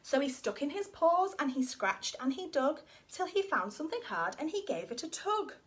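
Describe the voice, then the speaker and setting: A woman reading a rhyming children's story aloud in an expressive, sing-song way. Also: high voice, moderate pace.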